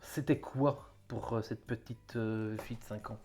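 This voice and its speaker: Man, interview host, relaxed, easygoing, laughs readily. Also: on the low side, measured pace. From Belgium. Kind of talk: casual interview.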